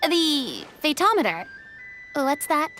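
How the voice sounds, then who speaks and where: Theatrical newcomer: very high, lilting, unhurried delivery. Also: female voice, soft background music, exaggerated voice. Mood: eager. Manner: animated, theatrical, drawn-out. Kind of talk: game cutscene voice acting.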